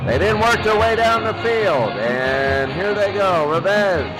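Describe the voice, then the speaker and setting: A man's voice: sits high, expressive, unhurried, over loud music, low-quality recording. A man doing football play-by-play as the announcer, often repeating his phrases. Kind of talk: amateur football play-by-play commentary.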